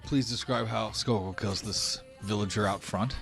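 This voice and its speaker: A man's voice: fairly low pitch, normal speed, vocal fry, a bit bright, faint music behind. A man narrating a tabletop roleplaying game as game master, in casual language with some swearing.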